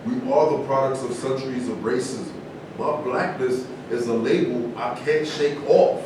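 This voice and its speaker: A man's voice: medium pitch, normal speed, natural voice. A man as a proud fallen champion, very echoey. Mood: bitter, rueful. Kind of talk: staged play reading. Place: large indoor room at a live staged reading, distant mic. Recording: very echoey, noisy room from far off.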